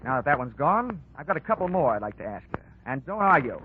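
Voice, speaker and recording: Casting: wry, tough radio detective, slightly high voice, sardonic wisecracks, vintage 1940s broadcast sound. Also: male voice, big pitch swings, fast talker, slight background noise. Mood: tough questioning. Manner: wry, hard-boiled, sardonic. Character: wisecracking, tough male insurance investigator. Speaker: lead investigator and narrator. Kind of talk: 1940s radio detective drama acting and narration. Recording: tinny 1940s radio recording.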